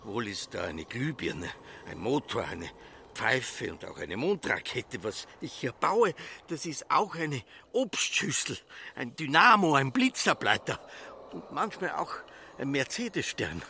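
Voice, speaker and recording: A man's voice: mid-range voice, very animated, measured pace, creaky, bright, forceful tone, slight background noise, full character voice. An actor playing a stubborn Austrian farmer obsessed with his machine, solemnly declaiming in a literary radio drama. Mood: fervent, visionary. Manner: declamatory, solemn, incantatory listing.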